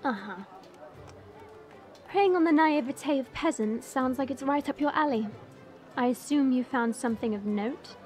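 A woman's voice: high-pitched, moderate pace, long pauses, faint music behind, natural voice. A woman playing a proud, sardonic young dragon woman who narrates an audio drama in a chatty, confiding way. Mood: sarcastic. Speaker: narrator and lead character.

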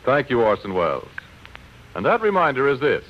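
An American male announcer of mid pitch delivers a scripted 1940s radio pitch. He is folksy, emphatic and persuasive. The recording sounds dull and dated. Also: wildly swooping pitch, fairly quick.

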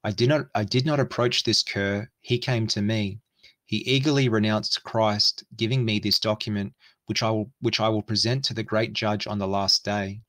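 A man's voice: lowish voice, fairly even intonation, normal speed, a bit bright, dry recording, close to an everyday voice. A man reading the part of Satan, a scornful, accusing infernal spirit, in formal storybook speech.

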